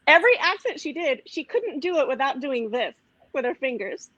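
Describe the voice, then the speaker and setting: A woman's voice: high-pitched, very animated, moderate pace, a bit bright, no room sound, highs cut off. A woman from a comedy troupe in a casual video chat, chatty and self-mocking.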